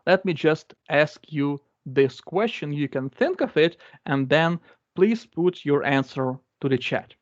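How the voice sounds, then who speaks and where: A man's voice: a little high, no echo. Man explaining code in non-native English. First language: not English. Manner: explanatory, repeats phrases, many fillers. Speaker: guest speaker. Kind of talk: live coding demo. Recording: dull lo-fi dry sound.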